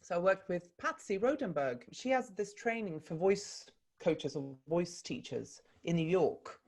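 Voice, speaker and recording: A Swedish woman with a low, deep voice talks in English as an interview guest over a video call. She chats in a relaxed way and pauses to think, often with ums and restarts. Her first language is Swedish. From Sweden. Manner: thoughtful, with frequent ums and restarts. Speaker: actor and voice coach, interview guest.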